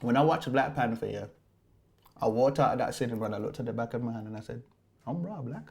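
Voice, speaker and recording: A man's voice: medium pitch, quick pace, vocal fry. Jokey young British man co-host. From United Kingdom. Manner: jokey, animated, laughs often. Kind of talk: casual chat.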